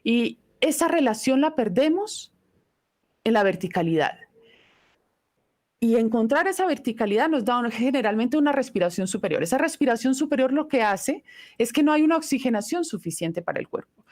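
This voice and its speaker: A middle-aged woman whose first language is Italian, giving a live online voice lesson in an unhurried, reflective teaching manner. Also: a little high, expressive, a little mellow.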